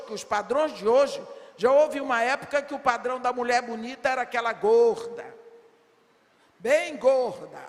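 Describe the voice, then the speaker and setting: An older woman delivers a sermon at a slow, deliberate pace, leaning on rhetorical questions and rising to shouts. Her voice rings through a big church hall with a long reverb tail. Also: high-pitched. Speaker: preacher at women's congress.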